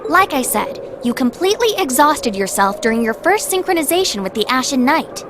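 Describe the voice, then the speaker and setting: High-pitched sassy talking cat. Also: female voice, fairly quick, noisy background. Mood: exasperated. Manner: indignant, glib, expressive. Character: sassy female talking cat, witches' familiar. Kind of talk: video game voice acting.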